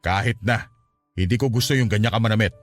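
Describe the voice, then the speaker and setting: A man's voice: fairly low pitch, fast, natural voice. A man acting a suspicious, controlling well-off Filipino boyfriend in a radio drama, brusque and domineering. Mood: stern, disapproving.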